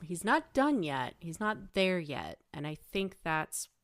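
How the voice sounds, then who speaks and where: A woman's voice: on the low side, big pitch swings, takes it slowly, no room sound. A woman chatting casually and emphatically as a panelist in a fan discussion podcast.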